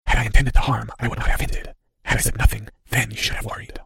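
A man voicing a mysterious, sly cloaked figure in an audiobook, in a dry, breathy whisper with courteous veiled menace. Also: medium pitch, level tone, bright, forceful tone, no echo, full character voice. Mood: sly, mocking. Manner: slow, courteous veiled threats. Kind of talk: audiobook character voice.

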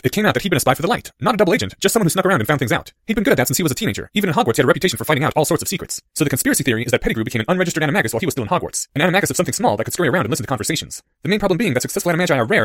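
A man voicing a bright, excitable, nerdy schoolboy who explains things eagerly and wordily in an audiobook story. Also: on the high side, very fast, hardly any pauses, dry recording, clean studio sound, voice pushed up. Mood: intent explaining. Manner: animated, eager, wordy explaining.

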